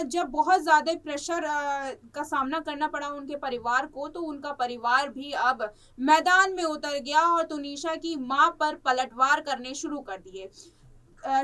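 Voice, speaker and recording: A woman presenting news commentary in a halting, repetitive way, stretching words as she searches for the next. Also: very high-pitched, fairly bright tone. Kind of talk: unscripted news commentary on a celebrity case. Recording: distant microphone.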